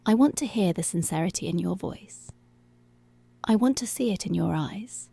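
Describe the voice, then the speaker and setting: A woman playing a dominant, seductive policewoman in an intimate ASMR roleplay, giving hushed, deliberate commands that are possessive yet tender, close to the microphone. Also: medium pitch, lively intonation, creaky, breathy edge, no room sound. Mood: firm, possessive. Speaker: ASMR voice actor. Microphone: close, dry microphone.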